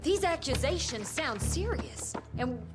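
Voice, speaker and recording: A woman's voice: high voice, sing-song, unhurried. An actress playing a formal, concerned female official in a video game. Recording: game soundtrack music behind.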